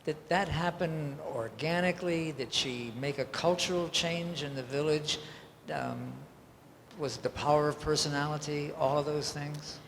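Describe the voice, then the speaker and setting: A man's voice: on the high side, normal speed, a bit bright. A man speaking from the audience, putting a considered question in a public Q&A. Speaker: audience member asking a question. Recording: echoey hall, faint noise.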